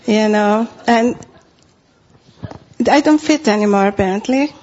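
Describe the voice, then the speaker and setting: A woman's voice: medium pitch, unhurried, a little mellow, lo-fi sound. A middle-aged woman from Costa Rica with a Spanish accent, preaching a sermon in an earnest way and repeating phrases for emphasis.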